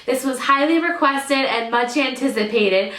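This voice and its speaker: A woman in her thirties gives a chatty beauty review to camera at home, her voice slightly nasal while she gets over a cold. Her tone is bright and forward, sitting a little high, and she doubles up words for emphasis. The room around her has a noticeable echo. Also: normal speed. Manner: chatty, enthusiastic, stresses words by repeating them. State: recovering from illness. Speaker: beauty YouTuber. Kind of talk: casual product review to camera. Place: home room with drawer units, indoors. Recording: echoey room.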